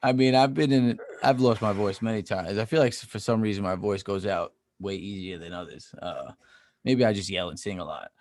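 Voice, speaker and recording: A man's voice: on the low side, brisk, a little mellow, no echo. A man co-hosting a podcast in casual chat, easygoing and teasing in his banter. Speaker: podcast co-host.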